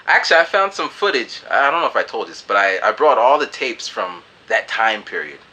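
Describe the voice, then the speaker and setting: A man in his twenties telling a loose, animated story to camera in a small room. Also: medium pitch, fairly quick. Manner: animated, loose storyteller who acts out voices. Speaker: vlogger. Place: small hot room indoors.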